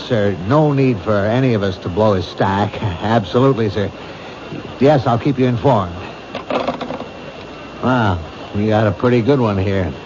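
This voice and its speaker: An actor playing a world-weary middle-aged police captain who talks in a brisk, matter-of-fact way, in a 1970s radio drama. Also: male voice, fairly low pitch, lightly acted. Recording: dull noisy old radio broadcast.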